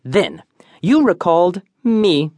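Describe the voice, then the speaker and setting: An actor playing an eccentric, pompous detective, declaiming absurdly confident deductions in a theatrical comic radio-drama style. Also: male voice, high-pitched, lively intonation, takes it slowly, darkish tone, no echo, clean studio sound. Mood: grandly declaiming.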